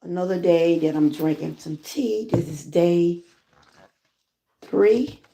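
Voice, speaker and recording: Hoarse, slow-talking Southern woman. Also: lowish voice, loud music behind the voice. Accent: Southern US (Georgia). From Georgia, USA. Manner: relaxed, chatty, greets viewers often. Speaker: lifestyle vlogger and channel host. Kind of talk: casual live-stream chat with viewers.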